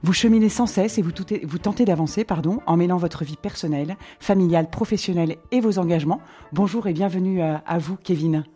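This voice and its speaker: Soft-spoken woman radio host. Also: fairly low pitch, quick pace, hardly any pauses, husky. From not from the local region. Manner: gentle, prompting questions with small restarts. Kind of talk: radio interview.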